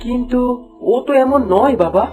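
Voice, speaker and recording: A man voicing an earnest, troubled young prince in an animated fairy tale, speaking emphatically. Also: very high-pitched, moderate pace, over music. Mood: puzzled, troubled.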